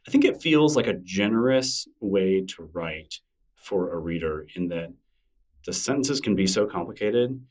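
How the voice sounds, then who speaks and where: Deep middle-aged guy rambling thoughtfully in an interview, lots of ums, sounds a bit far from the mic. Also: expressive, a little slow, darkish tone. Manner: thoughtful, rambling with many fillers and restarts. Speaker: interview guest, writer. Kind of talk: casual interview conversation.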